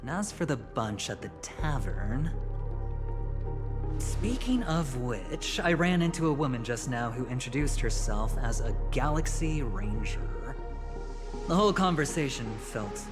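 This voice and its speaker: A man voicing a sly young schemer in a video game, with a wry, deliberate delivery. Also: mid-range voice, big pitch swings. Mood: musing, calculating. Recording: long hall reverb, music behind.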